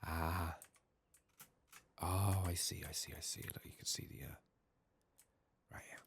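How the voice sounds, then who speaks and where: Very deep, hushed ASMR man. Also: level tone. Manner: hushed, unhurried muttering to himself. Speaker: video game streamer, host. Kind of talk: soft-spoken ASMR game playthrough commentary, partly whispered. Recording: faint noise, keyboard typing and mouse clicks. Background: keyboard and mouse clicking.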